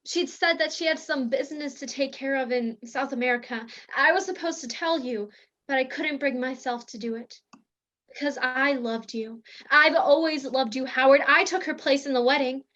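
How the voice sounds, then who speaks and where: A woman's voice: sits high, normal speed, a bit bright. A woman playing a melodramatic, lovestruck soap-opera heroine who hides secrets, in a radio play parody. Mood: confessing. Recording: dull lo-fi recording.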